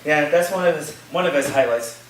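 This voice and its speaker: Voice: mid-range voice, brisk, lightly acted. An actor playing an easygoing, enthusiastic college student in a staged play reading, casual and reassuring. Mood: casual, curious. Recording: echoey room from far off, noisy.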